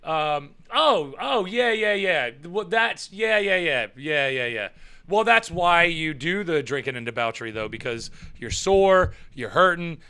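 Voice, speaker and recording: A young man chats loosely on a live stream and answers viewers as he goes. His voice is bright and forceful. He rambles, repeats himself and chuckles often. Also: fairly high pitch, lively intonation, unhurried, clear, resonant tone. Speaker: live show host and pro wrestler. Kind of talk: casual live-stream chat reading viewer comments.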